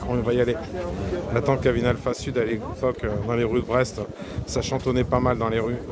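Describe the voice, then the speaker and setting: A man with a hoarse voice chatting casually to his live viewers while walking round a classic car meet in an outdoor car park. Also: medium pitch, flat delivery, a little fast, over a noisy crowd. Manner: chatty, addresses viewers and chat directly. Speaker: livestreamer filming a car meet.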